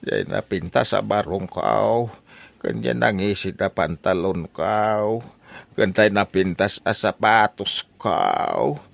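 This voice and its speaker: A man acts out a vain old Ilocano father in poor health. His voice sits low and gravelly, and he takes his words slowly, in the manner of audio comedy. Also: no echo, heavily acted. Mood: feeble, vain. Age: older. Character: vain, ailing elderly father wanting smart clothes. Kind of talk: comedy audio drama acting.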